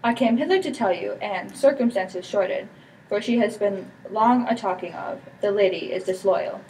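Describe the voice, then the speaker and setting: Slanderous Shakespearean villain, played by a student girl, mid-pitched, amateur stage dialogue, distant mic. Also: normal speed, darkish tone, natural voice. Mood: coldly accusing. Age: teenager. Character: scheming, slanderous villain, the prince's brother. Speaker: student performer. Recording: distant mic with background noise.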